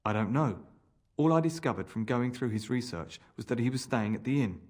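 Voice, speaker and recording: A nervous, evasive male assistant to a scholar, played by a game actor. His voice sits at a middle pitch, with a solemn, hesitant delivery. He sounds like a gloomy zealot from a fantasy adventure game. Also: expressive, fairly quick, a little mellow, lightly acted. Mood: reluctant, fearful. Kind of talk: fantasy video game voice acting.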